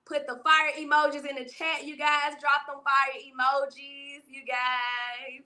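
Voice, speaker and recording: A woman's voice: sits high, flat delivery, unhurried, pushed and bright. A woman hosting a livestream interview, asking questions and talking to the chat in an upbeat way. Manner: upbeat, addresses the chat directly. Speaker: interview show host.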